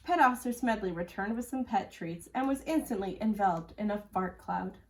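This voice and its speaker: A woman's voice: mid-range voice, a little fast, slight background noise. A woman reading a children's picture book aloud as a storyteller, recorded at a distance from the microphone. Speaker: school storyteller.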